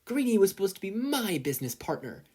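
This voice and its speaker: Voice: mid-range voice, big pitch swings, fast, dark tone, slightly rough, pitched above the performer's own voice. A performer voicing a furious, jealous teenage girl inventor, shrieking, in an audiobook style. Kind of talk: audiobook-style character acting.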